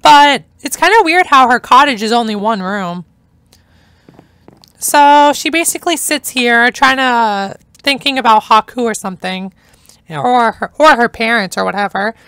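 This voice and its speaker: Young woman, hoarse, chatty let's-play host; high, bright voice, dry close sound. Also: a little slow, no echo. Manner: chatty, enthusiastic, rambling. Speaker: gameplay narrator. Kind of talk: casual let's-play commentary.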